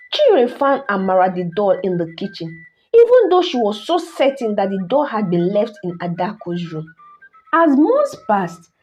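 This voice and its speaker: A Nigerian woman narrating a scripted folktale, in a dramatic, deliberate way with drawn-out phrases. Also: medium pitch, warm tone, dull audio. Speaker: storyteller, channel host.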